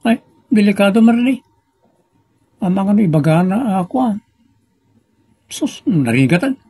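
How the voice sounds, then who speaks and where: A man's voice: high voice, sing-song, measured pace, dark tone, no echo, heavily acted. An actor with a northern Philippine accent playing a suspicious, gossiping man in an Ilocano comedy drama. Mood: uneasy, confiding. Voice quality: husky, creaky. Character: suspicious, gossiping man uneasy about friend's father. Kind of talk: Ilocano comedy radio-style drama acting.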